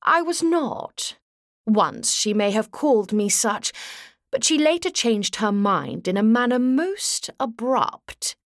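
A woman's voice: fairly high pitch, sing-song, takes it slowly, dry recording, clean studio sound, exaggerated voice. A woman voicing an elegant, vain male elf lord in a storybook, with a languid, sighing, grandly formal delivery. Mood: mock regretful.

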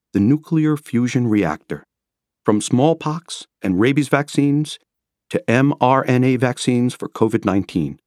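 A man reading nonfiction as a scripted audiobook narration, with measured, rhetorical and emphatic phrasing. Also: medium pitch, a little mellow, dry recording, clean studio sound. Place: close, dry studio recording.

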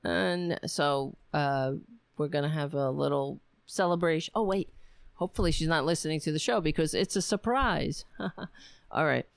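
Woman podcast host, voice going hoarse, slow gravelly delivery, clear dry close mic. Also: deep voice, no room sound. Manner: rambling, tired, talks to her pet. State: losing her voice. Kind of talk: casual solo sign-off chat.

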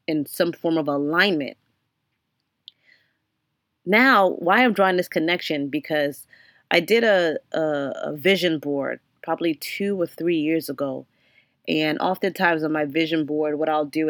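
A young adult woman hosting her own podcast, talking alone in an earnest, reflective way with frequent ums and restarts, her voice slightly hoarse. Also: deep voice, no echo, studio quality. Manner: many ums and restarts, faith-centered, earnest. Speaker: podcast host. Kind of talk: reflective solo podcast monologue.

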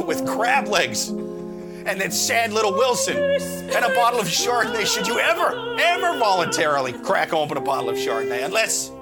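A hoarse, raspy man hosting a podcast after a cold, riffing profanely in casual chat from a basement. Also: high-pitched, huge pitch swings, normal speed. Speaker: podcast host. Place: basement studio. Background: clear music bed.

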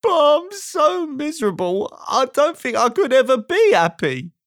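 A woman voicing a sad, squeaky, glum and sniffy little round man in a children's storybook. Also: sing-song, takes it slowly, dry recording, studio quality. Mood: miserable.

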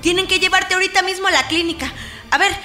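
A woman's voice: very high-pitched, fairly even intonation, fast talker, music in the background. An actress playing an anxious young pregnant countrywoman with a Mexican accent in a radio drama. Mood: alarmed, exasperated. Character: Esperanza, anxious pregnant countrywoman helping her cousin. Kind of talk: Spanish-language radio drama acting.

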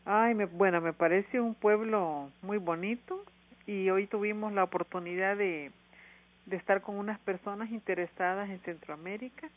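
A woman's voice: medium pitch, moderate pace. A woman with a Honduran accent, speaking earnestly as an interview guest over the phone, with frequent hesitations. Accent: Honduran Spanish. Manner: earnest, steady, many hesitations. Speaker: NGO worker, interview guest. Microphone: telephone. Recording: tinny dry phone line.

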